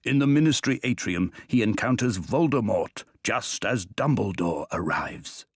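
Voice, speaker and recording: A man's voice: on the low side, animated, measured pace, noisy background. A man narrating a scripted story as a game's narrator.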